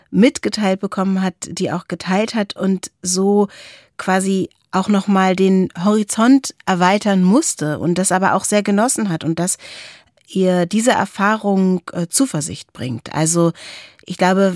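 A woman's voice: medium pitch, a little mellow, no room sound, clean studio sound. A woman co-hosting a conversational discussion, reflective and summarising, with tentative endings to her thoughts. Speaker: podcast co-host.

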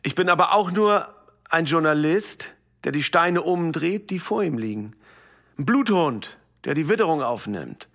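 A man's voice: a little high, expressive, measured pace, fairly bright tone, heavily acted. A man playing a self-justifying, pompous and evasive journalist in a radio comedy mock interview. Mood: defensive, pompous.

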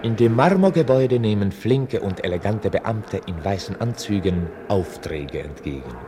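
An actor plays a formal chronicler presenting a mock documentary for radio. His voice sits fairly low and swings widely in melody as he explains, with theatrical variety. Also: animated, moderate pace, a crowd in the background, close to an everyday voice. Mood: explanatory, formal. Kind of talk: scripted radio drama narration.

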